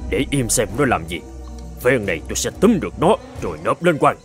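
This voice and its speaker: A man voicing a grumbling but soft-hearted old farmer in an animated folk tale. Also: mid-range voice, a little fast, faint music behind, lightly acted. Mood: wary, grumbling.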